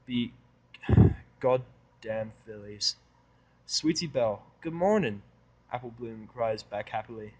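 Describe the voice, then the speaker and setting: A man reading fan fiction aloud as an expressive first-person narrator. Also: medium pitch, poor audio.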